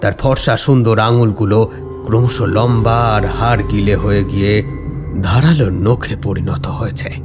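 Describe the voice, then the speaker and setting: Low guy reading a scary story, suspenseful and deliberate, music under him. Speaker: horror story narrator. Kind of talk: dramatic scripted narration.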